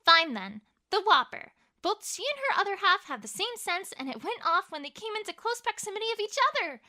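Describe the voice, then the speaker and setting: A woman's voice: very high-pitched, very animated, fairly quick, bright, forceful tone, heavily acted. A woman voicing an anxious, bookish young female pony in a dramatised story, with a stammering, dramatic manner. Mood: exasperated. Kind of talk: dramatised fan fiction reading.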